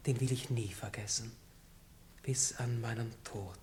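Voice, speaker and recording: An actor playing a lovestruck, courtly seventeen-year-old aristocrat in a radio play, speaking with rapt ardour. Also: male voice, mid-range voice, steady tone, unhurried, darkish tone, close to an everyday voice. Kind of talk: 1960s radio play acting. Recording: old faintly hissy radio recording.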